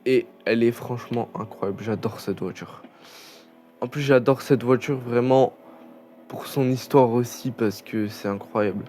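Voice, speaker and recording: A man's voice: mid-range voice, measured pace, mellow. A young man chatting casually over a racing game, rambling with many fillers and restarts. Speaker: gaming channel host. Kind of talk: casual gameplay commentary.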